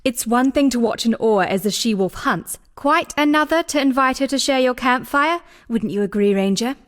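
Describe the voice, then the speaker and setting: A woman playing a wary, sharp-tongued exiled former captive in scripted video game dialogue, dry and teasing. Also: on the high side, normal speed, close to an everyday voice. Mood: wary, earnest.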